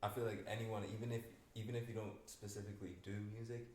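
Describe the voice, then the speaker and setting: Deep, flat-voiced young host in a roomy space. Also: male voice, fast. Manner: laid-back, rambling, heavy use of "like". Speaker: podcast host. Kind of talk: casual podcast chat. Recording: roomy room with faint noise.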